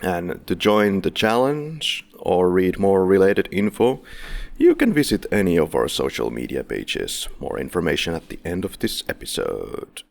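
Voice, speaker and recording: A man's voice: sits low, very animated, measured pace, gravelly, hardly any pauses, darkish tone. A man hosting a casual film podcast, making playful, jokey introductions. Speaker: podcast host.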